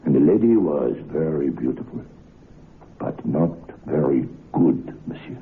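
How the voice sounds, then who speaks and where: A nervous French head waiter. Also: male voice, rumbling low, lively intonation, measured pace, vocal fry, full character voice. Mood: confiding. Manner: broken English, urgent pleading. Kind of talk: old-time radio drama acting. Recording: tinny phone-like old radio recording.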